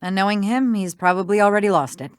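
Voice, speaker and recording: An actress playing a busy, sardonic small-town lawyer in a video game, with a dry, exasperated, matter-of-fact manner. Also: female voice, mid-range voice, fairly quick, smooth, dry recording, natural voice. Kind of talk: scripted video game dialogue.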